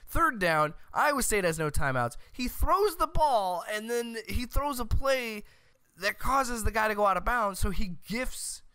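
An American man in his thirties giving loud, emphatic, opinionated sports commentary as a show host, close on a studio mic. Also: high-pitched, lively intonation, unhurried, smooth, no room sound. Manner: loud, emphatic, exasperated ranting. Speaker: host of a college football show. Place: small room at home. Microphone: close studio mic on a boom arm.

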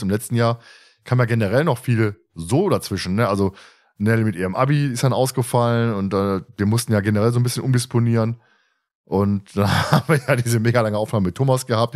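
A man's voice: fairly low pitch, a little mellow. A man hosting a podcast in casual, chatty conversation with a teasing manner. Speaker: podcast host.

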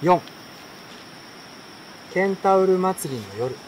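A man reading a children's story aloud in a relaxed, unhurried way, pausing between short phrases. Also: sits high, rough recording. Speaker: reader. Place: outdoors at night by a campfire at a campsite. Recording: clear insect and outdoor night noise. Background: insects, stream, campfire.

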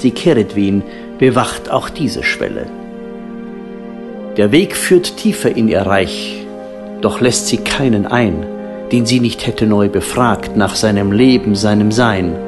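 A man reciting a solemn poetic audio drama in a hushed, breathy manner, with drawn-out phrases. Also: medium pitch. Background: new-age instrumental music.

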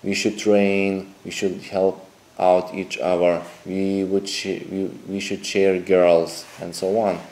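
A young man, a dating coach making a sales pitch to camera indoors, talking in halting, repetitive, phrasing. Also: little pitch movement, a little mellow, low-quality recording. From Lithuania. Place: indoor room with a TV screen behind.

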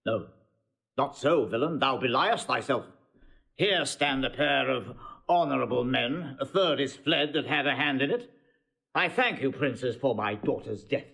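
A man's voice: medium pitch, animated, unhurried, creaky, fairly bright tone, husky, exaggerated voice, low-quality recording. An actor playing a dignified, aggrieved old nobleman in classical Shakespearean audio drama. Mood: bitterly scornful. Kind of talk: classical Shakespearean radio acting.

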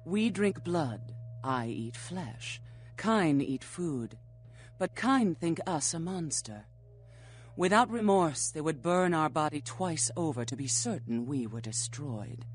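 A woman's voice: deep voice, expressive, slow, a little hiss or hum. A woman playing an ancient flesh-eating vampire in a video game, philosophical and coolly menacing, speaking in cryptic, formal questions. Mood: cool, matter-of-fact. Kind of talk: video game character acting.

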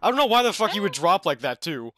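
A man's voice: sits high, big pitch swings, quick pace, a bit bright. A young man in casual gaming voice chat, irritated and foul-mouthed. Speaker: video maker gaming with friends.

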